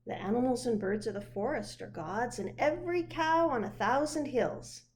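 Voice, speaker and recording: A woman's voice: a little high, lively intonation, darkish tone. Woman reading as a patient, reassuring storybook parent. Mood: gentle, reassuring. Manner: measured, reassuring. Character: gentle, patient parent answering a young child. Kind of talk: children's picture book read aloud.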